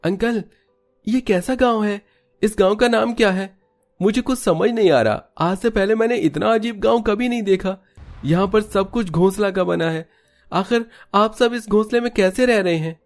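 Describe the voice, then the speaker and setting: A man's voice: high voice, moderate pace, faint music behind, pitched above the performer's own voice. A man voicing an astonished, curious young village visitor in a Hindi cartoon story, exclaiming and questioning in wonder. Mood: amazed, puzzled. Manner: exclamatory, wide-eyed questioning.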